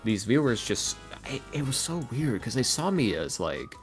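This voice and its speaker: A man chatting casually as a podcast guest, animated and halting, often repeating himself as he goes. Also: medium pitch. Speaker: podcast guest, ASMR roleplay creator and voice actor. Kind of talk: casual interview chat. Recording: music playing behind the voice. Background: background music.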